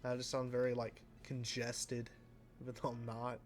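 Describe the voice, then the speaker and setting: A man casually commenting while he plays a racing game, rambling and self-deprecating, with a flat, slightly nasal voice that trails off into mumbles. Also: mid-range voice, moderate pace, faint music behind. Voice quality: sounds flat and a bit nasal, by his own account. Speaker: let's play host.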